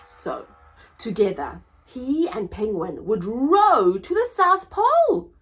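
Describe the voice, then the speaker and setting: A woman reading a picture book aloud to young children as a story time host, expressive and deliberate, drawing out words. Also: fairly high pitch, husky.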